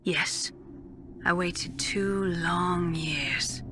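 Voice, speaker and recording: A woman playing a sorrowful, vengeful young scientist in a video game, speaking in a measured, wistful, confessional way. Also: fairly low pitch, sharp tone, faint music behind, exaggerated voice. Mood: cold, intense. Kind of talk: dramatic video game voice acting.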